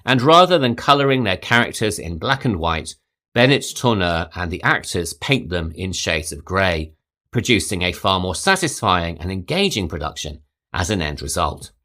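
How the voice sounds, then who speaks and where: A man with a very low yet bright-edged voice delivers scripted film commentary. His delivery is measured and articulate, with a lively rise and fall in pitch that lends emphasis to each point. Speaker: podcast host.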